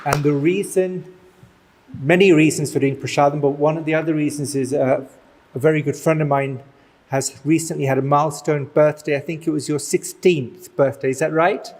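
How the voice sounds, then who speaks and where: A man with a quiet voice, giving thanks and announcements to a gathering. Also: a little high, fairly even intonation, normal speed. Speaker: event organizer giving thanks. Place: community hall.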